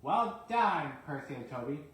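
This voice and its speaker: A commanding railway boss from a children's storybook, as a man acts him out. He speaks firmly and loudly, with stern authority. The sound is heavily echoed. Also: a little high, very animated, measured pace. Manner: scolding, authoritative.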